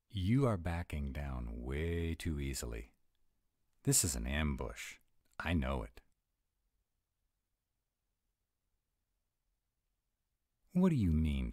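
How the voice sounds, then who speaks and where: A man performs a tsundere male were-dragon in an intimate audio roleplay, with an extremely low voice and an unhurried pace. He grumbles in exasperation yet has a soft, boyish side, and his delivery swings widely in pitch. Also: no echo, clean studio sound, exaggerated voice. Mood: suspicious, grumbling. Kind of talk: audio roleplay acting, addressed to the listener.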